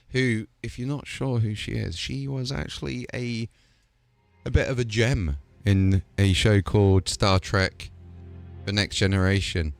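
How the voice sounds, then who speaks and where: Guy hosting a game showcase, lowish voice, chatty and into it, dry close sound. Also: smooth, no echo. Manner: chatty and enthusiastic, with filler like "kinda". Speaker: host showcasing game voice packs. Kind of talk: enthusiastic casual presentation.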